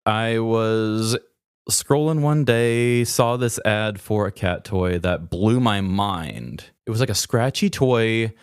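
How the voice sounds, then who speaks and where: A man's voice: on the low side, lively intonation, slow pace, clear, resonant tone, dry recording. Man chatting casually, close dry sound. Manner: playful, self-deprecating, mildly profane. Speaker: podcast co-host. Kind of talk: casual chat.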